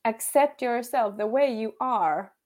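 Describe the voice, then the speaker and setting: A woman's voice: fairly high pitch, measured pace, darkish tone. A woman in her thirties with a Finnish accent, a podcast guest who speaks openly, laughs often and leans on "like". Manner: laughs often, candid, says "like" a lot. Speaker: podcast guest, yoga teacher. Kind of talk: casual interview chat.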